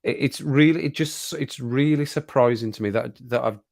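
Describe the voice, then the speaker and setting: A man hosting a casual live stream chat, rambling and laughing often, his voice a little croaky after a cold. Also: medium pitch, moderate pace, darkish tone, dry recording, clean studio sound.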